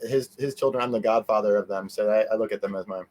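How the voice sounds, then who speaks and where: A man's voice: on the low side, steady tone, fast talker, darkish tone, poor audio. A middle-aged man chatting casually as an interview guest, easygoing and meandering in how he talks. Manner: easygoing, meandering, chuckles often. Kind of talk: casual chat.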